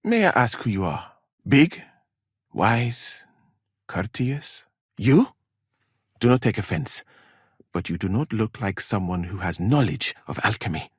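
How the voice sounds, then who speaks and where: A man's voice: fairly low pitch, big pitch swings, breathy edge, no room sound, clean studio sound, full character voice. A man playing a proud, exacting medieval physician in a video game, speaking formally and precisely. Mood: indignant, proud. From Mali. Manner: formal, measured, precise wording. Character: proud, exacting foreign male physician in a medieval war camp. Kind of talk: dramatic video game dialogue.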